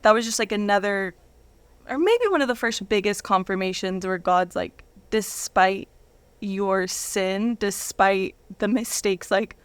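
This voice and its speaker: Woman, thirties, interview guest, casual storyteller, informal animated phrasing, average pitch, dry recording. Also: measured pace, clear, resonant tone, no room sound. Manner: informal, animated anecdotes peppered with "like".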